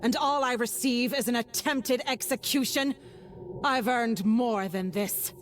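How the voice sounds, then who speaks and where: A woman's voice: on the high side, measured pace, close to an everyday voice. An actress playing a defiant, distrustful woman in a gothic video game, with a dramatic, weighty delivery and noisy ambience behind her. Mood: bitter, defiant. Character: defiant, distrustful woman challenging a vampire tyrant.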